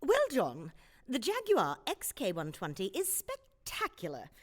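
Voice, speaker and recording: A woman's voice: medium pitch, wildly swooping pitch, a little slow. A woman playing a flustered, proper 1940s field reporter in a comedy radio drama, with a stammering delivery. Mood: bright, reporting.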